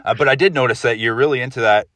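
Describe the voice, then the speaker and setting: A man hosting a casual podcast interview, talking haltingly, repeating words and often saying "you know". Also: mid-range voice, fairly bright tone, no room sound, clean studio sound, recorded from a distance.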